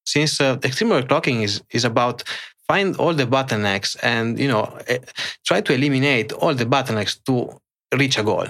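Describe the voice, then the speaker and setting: A middle-aged man with an Italian accent, answering interview questions in a halting way with many fillers and restarts. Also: mid-range voice, fairly bright tone. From Italy. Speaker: guest, extreme overclocker. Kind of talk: casual interview.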